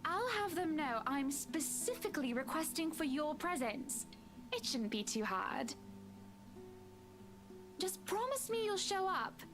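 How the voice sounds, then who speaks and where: Actress as rich, genteel socialite wife, high voice, sweetly bossy, visual novel drama over music. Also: female voice, very animated, a little slow, pauses often. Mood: gracious. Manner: polite, affectionate, genteel. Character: gracious wealthy married woman, sweetly bossy socialite.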